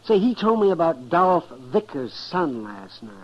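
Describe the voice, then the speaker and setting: An actor playing a crusty, sociable frontier town doctor with a chatty, wry manner in 1950s radio style. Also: male voice, high voice, sing-song, measured pace. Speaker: actor in a western radio drama. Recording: dull, slightly noisy 1950s radio recording.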